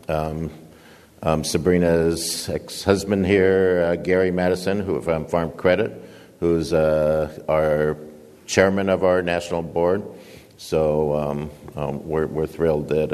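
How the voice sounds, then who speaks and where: Older guy speaking at a conference, deep flat voice, lots of uh and um, echoey hall. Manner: booming, halting with many fillers. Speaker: guest speaker, nonprofit founder and director. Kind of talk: conference speech.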